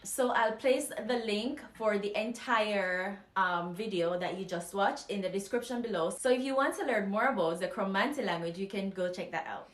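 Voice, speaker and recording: Clear Jamaican woman teaching in an echoey room. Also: mid-range voice. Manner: clear, measured, informative. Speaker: language teacher. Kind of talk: explanatory lesson.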